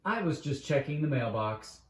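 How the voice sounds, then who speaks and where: A man's voice: medium pitch, expressive, moderate pace, close to an everyday voice. A man voicing a gloomy, wishy-washy young boy who sighs, hesitates and complains in a read-aloud storybook, in a roomy recording.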